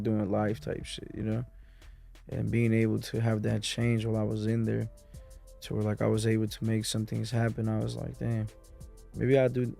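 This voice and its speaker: A man's voice: lowish voice, creaky, dark tone. A man who grew up in Mexico City, a music producer talking as a guest in a casual sit-down interview, full of street slang and "you know" asides.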